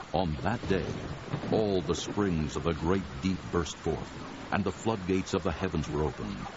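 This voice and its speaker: A man narrating dramatized scripture as a solemn, measured storyteller. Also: low voice, noisy background. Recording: dull sound with music underneath. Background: music.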